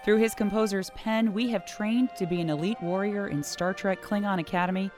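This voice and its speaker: A woman host reading a scripted introduction in a smooth, measured way. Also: mid-range voice, fairly even intonation, darkish tone, faint music behind. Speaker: interviewer and narrator. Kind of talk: scripted narration.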